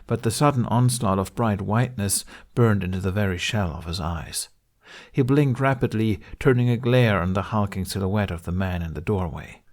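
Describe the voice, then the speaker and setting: Low-voiced man narrating a dramatic audiobook. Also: darkish tone. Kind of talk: dramatic scripted fiction narration.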